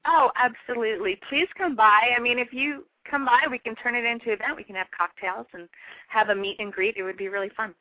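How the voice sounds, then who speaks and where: A woman's voice: fairly high pitch, fast, dry recording. A woman speaking casually as an interview guest who runs a shop. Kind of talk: casual chat. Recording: muffled radio-like audio, dry.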